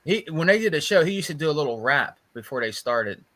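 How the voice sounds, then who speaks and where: A man with a fairly high, bright, forward voice talks in a casual podcast chat. He tells stories informally, circling back and repeating phrases for emphasis. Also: very animated, quick pace. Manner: repeats phrases for emphasis, addresses listener as bro. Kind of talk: casual chat, gossiping and storytelling.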